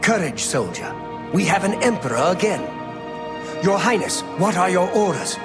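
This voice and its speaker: High-pitched, stately chancellor in a hall. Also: male voice, sing-song, normal speed, over music. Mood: rallying, dutiful. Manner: formal, declamatory, pompous. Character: stately, commanding male high chancellor of an empire. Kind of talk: video game dramatic dialogue. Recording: long hall-like reverb.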